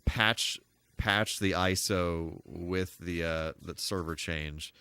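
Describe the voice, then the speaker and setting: A man with a low voice hosts a casual game live stream. He talks in a rambling, halting way, thinking aloud as he goes and using mild, folksy exclamations. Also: pushed and bright, slight background noise.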